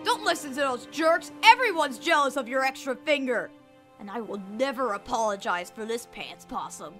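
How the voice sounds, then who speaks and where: Woman as a scrappy, very high-voiced boy. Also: animated, normal speed, fairly bright tone. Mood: dry. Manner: cocky, blunt. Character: scrappy, brash young boy, tough talker. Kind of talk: comic dub voice acting. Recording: faint music behind.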